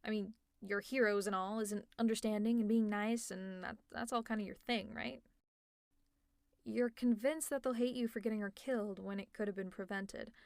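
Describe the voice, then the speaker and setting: A woman's voice: fairly high pitch, no echo, clean studio sound. A woman playing a sarcastic but caring female supervillain in an audio roleplay, teasing and exasperated, with sighs and laughs. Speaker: ASMR roleplay narrator.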